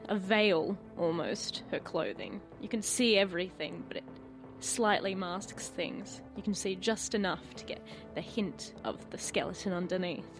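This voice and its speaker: A woman playing a tabletop role-playing game, describing her character in halting, thoughtful phrases, with music clearly behind her. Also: medium pitch.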